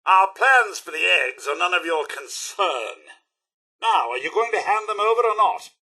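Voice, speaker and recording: Posh british robot butler for a game, prim and fussy, bright sharp voice, bit raspy. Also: male voice, high-pitched, lively intonation, normal speed, exaggerated voice. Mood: haughty, disdainful. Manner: posh, proper, theatrical butler diction. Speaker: voice actor. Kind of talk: video game companion dialogue.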